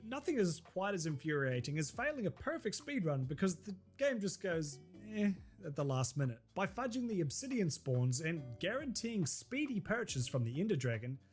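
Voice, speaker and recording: Man, fairly high, nasal from a cold, wry scripted narrator, faint music under him. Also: moderate pace, hardly any pauses. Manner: wry, deadpan humor. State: stuffy head cold. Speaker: video essay narrator.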